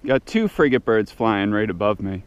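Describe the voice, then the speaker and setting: A man in his thirties giving quiet, low-key running commentary while fishing from a kayak on open sea, recorded on an action camera. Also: sing-song, normal speed, lo-fi sound, a little hiss or hum. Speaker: fishing vlogger. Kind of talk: casual first-person narration while fishing. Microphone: action camera mounted on the kayak.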